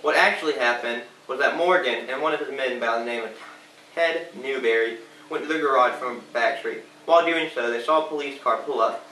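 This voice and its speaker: A man's voice: medium pitch, a little fast, pushed and bright, natural voice. A man playing an earnest 1920s radio news anchor, reading a scripted bulletin in an amateur period skit. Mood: earnest, newsy. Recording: distant, echoey room with faint noise.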